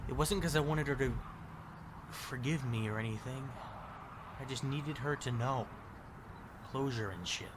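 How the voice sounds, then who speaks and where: A man's voice: mid-range voice, a little slow, long pauses, noisy background, lightly acted. A man playing a bitter, regretful survivor in a zombie audio drama, sarcastic and slangy but caring underneath. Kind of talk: audio drama acting, casual profane dialogue.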